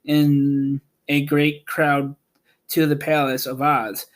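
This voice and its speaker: A man reading a children's storybook aloud in a measured, plain narrating style. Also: a little high. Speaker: audiobook narrator and host.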